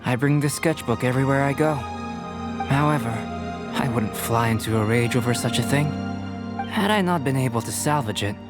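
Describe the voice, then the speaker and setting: A composed young alchemist , acted by a man, speaks at a middle pitch. He is formal, analytical and politely detached, like a scholar in a fantasy game. Clear music runs underneath. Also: moderate pace, lightly acted. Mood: reflective, polite. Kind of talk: English dub video game voice acting.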